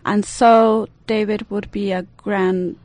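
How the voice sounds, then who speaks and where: A woman's voice: mid-range voice, little pitch movement, takes it slowly, a little mellow, no room sound. A woman from the Italian-speaking part of Switzerland with a Swiss Italian accent, a guest on a radio talk show, speaking hesitantly with many fillers. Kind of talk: radio interview. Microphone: studio microphone.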